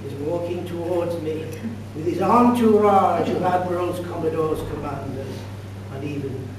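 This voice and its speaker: A man in his sixties telling a comic tall tale to an audience as a contest speaker, in a deliberate, mock-grand deadpan with dramatic pauses. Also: on the high side. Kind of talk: theatrical comic storytelling speech to an audience. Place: indoor function room at a club meeting. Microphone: distant camera microphone. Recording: distant echoey room recording with loud noise and hum.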